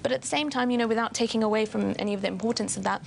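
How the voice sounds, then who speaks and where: A woman's voice: fairly high pitch, very fast, creaky, slight background noise. A woman on a discussion panel, speaking with enthusiasm and lots of filler phrases. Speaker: panel guest. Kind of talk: casual discussion.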